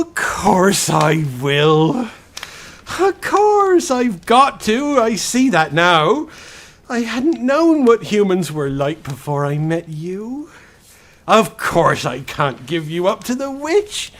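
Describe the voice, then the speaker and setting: An actor playing a timid, guilt-ridden fawn in a radio drama, faltering and plaintive. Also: male voice, high voice, a bit bright, exaggerated voice. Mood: resolved, hushed. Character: tearful, guilt-ridden male fawn, timid and self-reproaching.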